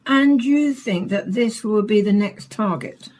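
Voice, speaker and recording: A woman plays an inquisitive presenter of a science programme in a radio play. Her mid-pitched voice is a little husky, and she speaks briskly with a composed, probing broadcast manner. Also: a little mellow, close to an everyday voice. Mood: composed, professional.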